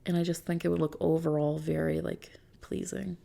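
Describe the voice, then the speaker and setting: A woman doing an ASMR roleplay as a customization consultant, talking softly and unhurriedly to a customer in a gently explanatory, reassuring way. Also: sits low, little pitch movement, moderate pace, creaky. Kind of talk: ASMR roleplay, soft customer-service talk.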